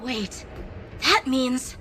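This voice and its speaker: An actress playing an alert, serious young woman investigating impostors in a video game, urgent and quick to worry. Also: high-pitched, expressive, sharp tone, faint music behind, full character voice. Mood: earnest. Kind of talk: game voice acting.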